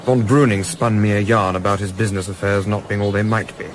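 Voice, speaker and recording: An actor playing a polite, well-spoken young English gentleman who narrates his adventure in a radio play, with an English accent. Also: on the low side, fairly even intonation, fairly quick, background noise, lightly acted. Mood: brisk, puzzled. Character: Carruthers, urbane young English gentleman, wry, diarist narrator. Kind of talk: radio play acting and first-person narration.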